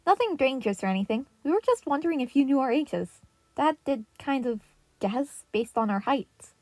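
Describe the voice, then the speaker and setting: A woman voicing a sweet, cheerful youngest boy turtle who talks in a bright, eager way. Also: high voice, expressive, normal speed, no room sound, voice pushed up.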